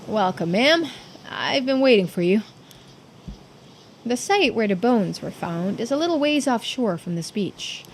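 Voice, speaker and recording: A woman voicing a diligent assistant investigator in a mystery game, giving formal, measured reports. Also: on the high side, sing-song, a little hiss or hum. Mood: formal, serious. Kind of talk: voiced visual-novel dialogue.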